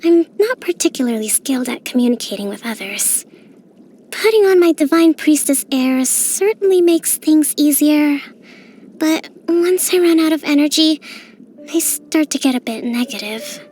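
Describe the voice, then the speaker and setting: An actress voicing a young female island priestess and military strategist in a game, speaking in a gentle, composed, softly playful way. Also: high voice, animated, normal speed, a little hiss or hum. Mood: confiding. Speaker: game character. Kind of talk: game voice lines.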